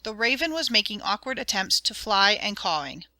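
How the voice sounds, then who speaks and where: A woman reading a children's audiobook as narrator, in an expressive, dramatic and clearly enunciated storytelling style. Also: medium pitch, normal speed, no room sound.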